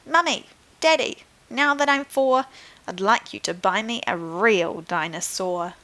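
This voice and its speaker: A woman's voice: mid-range voice, measured pace, pushed and bright, rough recording. A woman voicing a willful, bold four-year-old girl in a storybook, with an assertive, childlike manner. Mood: eager. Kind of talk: storybook read aloud.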